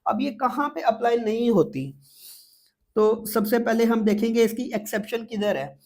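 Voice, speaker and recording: A man's voice: very high-pitched, moderate pace, darkish tone. A man with a Pakistani accent giving an exam-prep lecture, explaining step by step as a teacher and often repeating points with little check-in words.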